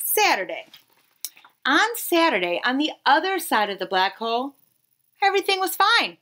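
A woman in her thirties reading a children's picture book aloud expressively, with dramatic pauses and emphasis. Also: high voice, very animated, sharp tone. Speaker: read-aloud storyteller.

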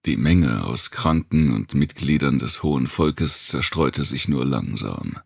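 Male audiobook narrator, extremely low register, gravelly and husky, slow deliberate delivery for dark fiction. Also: expressive, dry recording, clean studio sound. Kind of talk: dramatic first-person audiobook narration of post-apocalyptic fiction.

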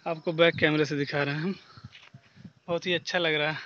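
A young man in his twenties from northern India, chatting excitedly in a casual vlog from a village rooftop, recorded on a phone at arm's length. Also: on the high side, a little fast, dull audio, slight background noise. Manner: excited, chatty, laughing easily. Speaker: vlogger. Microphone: phone held at arm's length.

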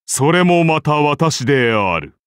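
A man's voice: fairly high pitch, sing-song, takes it slowly, fairly bright tone, clear, resonant tone, no room sound, clean studio sound, full character voice. A man voicing a majestic, benevolent Roman founder-king turned god in an anime game, with grand, solemn, archaic declamation. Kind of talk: anime game character voice lines.

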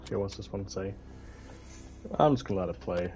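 Low, mellow-voiced man playing a horror game. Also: fairly quick, soft background music. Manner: reads in-game notes aloud, muttering asides. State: slightly ill. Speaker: gaming streamer. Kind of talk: horror game let's play commentary. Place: indoor room at a desk. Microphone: headset.

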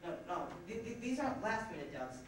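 A man's voice: high-pitched, sing-song, a little fast. A young man playing a bewildered, reluctant groom in an amateur stage play, speaking hesitantly and stammering. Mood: flustered, confused. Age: young adult. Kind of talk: amateur high school stage acting. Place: theatre stage, camera far off. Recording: distant, echoey, noisy camcorder stage recording.

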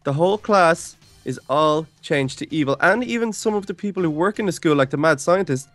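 Male gamer, higher voice, dry close sound over music; leads a playful roleplay, calling out short commands. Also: animated, a little fast, a bit bright, dry recording. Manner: narrates the action, repeats short commands. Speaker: gaming video player leading a roleplay. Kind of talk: playful improvised game roleplay commentary.

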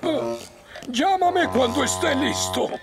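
A man voicing a proud, blustery elderly fire chief in a Latin American Spanish cartoon dub, his creaky voice given to groans. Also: very high voice, animated, slow pace, exaggerated voice. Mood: weary. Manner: blustering, full of groans and ohs. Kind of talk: animated children's cartoon dub. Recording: loud music behind the voice.

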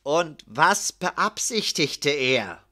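A performer voicing a stern, gruff male inquisitor who questions tersely and commandingly in an audiobook story. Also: medium pitch, very animated, extremely slow, pushed and bright, heavily acted. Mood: stern questioning.

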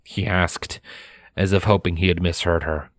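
A man reading scripted fiction as a narrator, measured and expressive in his storytelling. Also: low voice, no echo. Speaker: narrator of a fan fiction audiobook. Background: music.

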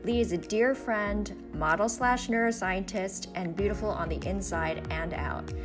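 A woman host reading a scripted intro in a smooth, measured way. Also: on the low side. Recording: voice-over with music behind.

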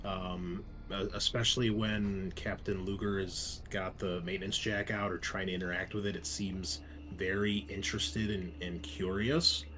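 A man narrating a tabletop role-playing game as game master in a halting, conversational way. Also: low voice, level tone, noisy background. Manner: conversational, halting with frequent 'like'.

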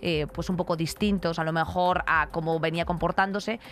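A woman's voice: on the low side, fairly even intonation, brisk, a little hiss or hum. A woman in her thirties with a Castilian accent from Spain, giving blunt, emphatic, colloquial opinions as a podcast co-host. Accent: Castilian Spanish from Spain. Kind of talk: casual opinionated commentary. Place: radio-style podcast studio. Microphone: close studio microphone on desk.

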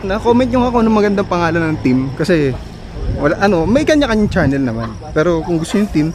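A man's voice: high voice, fast, background noise. Chatty man vlogging with a handheld camera. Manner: chatty, excitable, trails off into hushed remarks in dark rooms. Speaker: vlog host exploring abandoned places. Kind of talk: casual walking vlog. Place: abandoned concrete building, indoors and outdoors. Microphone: handheld action camera at arm's length.

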